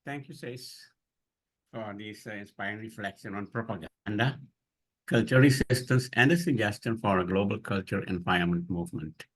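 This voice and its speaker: A man moderating an online discussion in an informal way, speaking haltingly and searching for his words. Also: lowish voice, fairly bright tone, poor audio. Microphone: online video call.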